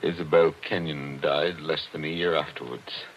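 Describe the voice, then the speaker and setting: An actor playing a grieving older gentleman, formal and measured, in 1940s radio drama style. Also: a bass voice, steady tone, a little hiss or hum. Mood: wistful. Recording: dull vintage 1940s radio recording.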